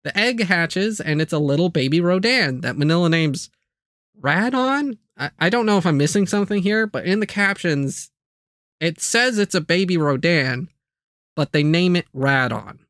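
A man's voice: on the high side, animated, moderate pace, a bit bright, no echo, studio quality. A man hosting a video channel, narrating a scripted overview with light, self-aware asides.

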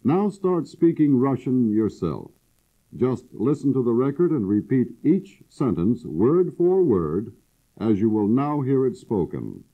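A man's voice: mid-range voice, very animated, slow. Persuasive male announcer on old tape. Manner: persuasive, salesman-like. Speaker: course announcer. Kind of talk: scripted promotional narration. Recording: husky, phone-like old cassette audio.

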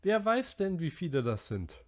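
Man reading aloud as anxious chubby schoolboy, high and whiny, audiobook. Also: big pitch swings, normal speed. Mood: indignant. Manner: high, whiny and breathless pleading.

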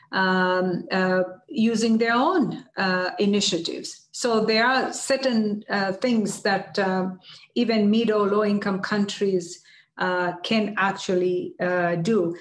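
A woman's voice: medium pitch, fairly even intonation, slow. Middle-aged woman in an echoey room. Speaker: UN environment official, guest speaker. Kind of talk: answering questions at an online seminar. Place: indoors before banners, online video call. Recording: echoey room on video call.

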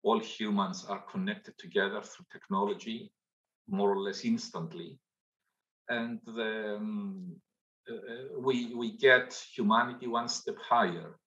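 An older man speaking as a guest on a conference panel over a video call, slow and halting, with many pauses and restarts. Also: fairly low pitch, steady tone, fairly bright tone. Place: home room, indoors. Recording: dull lo-fi video call audio.

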